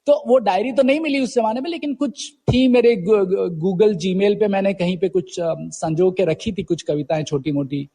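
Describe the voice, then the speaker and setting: A man in his forties from Uttarakhand with a husky voice, telling his story conversationally as an interview guest, mixing in English words. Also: high-pitched, steady tone, moderate pace, hardly any pauses, warm tone, in a large hall. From Uttarakhand, India. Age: 40s. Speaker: guest poet.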